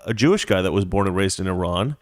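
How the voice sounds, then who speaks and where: A man's voice: low-pitched, lively intonation, fast, no room sound, studio quality. A man hosting a talk show, giving casual political commentary in a rambling, conversational way that drifts into anecdotes.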